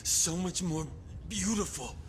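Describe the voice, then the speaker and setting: A man playing a power-hungry, desperate young villain in a video game cutscene, intense and halting. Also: high-pitched, slight background noise. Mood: desperate, deluded.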